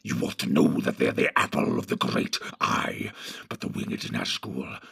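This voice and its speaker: A man voicing a sly, sinister orc captain in an audiobook, hoarse and whispery, drawing out his words in a brooding hiss. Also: very deep voice, big pitch swings, a bit bright, dry recording, clean studio sound, pitched below the performer's own voice, heavily acted. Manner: drawn-out, hissing and brooding delivery. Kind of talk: audiobook reading.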